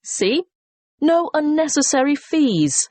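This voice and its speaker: A helpful corporate employee, played by a woman with a slightly high voice, delivers a scripted customer information message. The intonation swings in a lively way, with clear, persuasive phrasing. The sound is close and dry. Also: takes it slowly, dry recording, full character voice. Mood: upbeat, reassuring. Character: helpful female investment society employee promoting savings services. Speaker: information line announcer for an investment society.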